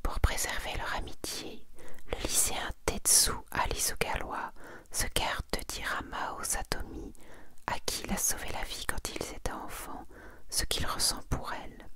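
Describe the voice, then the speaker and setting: A woman whispering in a breathy, intimate way, as the host of an ASMR video speaking to one listener. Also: a little slow. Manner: soft, intimate, addresses one listener informally. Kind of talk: whispered ASMR, chatting and reading out manga summaries.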